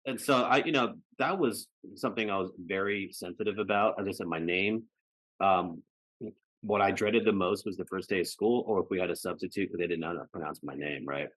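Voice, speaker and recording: Low-voiced American man, dry close sound. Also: brisk, fairly bright tone, no room sound, low-quality recording. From United States. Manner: frequently ends phrases seeking agreement with "right" and "you know". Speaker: podcast guest. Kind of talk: reflective personal conversation.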